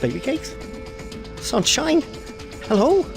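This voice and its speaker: A desperate washed-up showman in a game cutscene. His high voice cracks with gravelly creak as he wheedles nervously, music playing behind. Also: wildly swooping pitch, slow pace, exaggerated voice. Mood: desperate pleading. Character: desperate, pleading, washed-up small-time male showman. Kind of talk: video game cutscene acting.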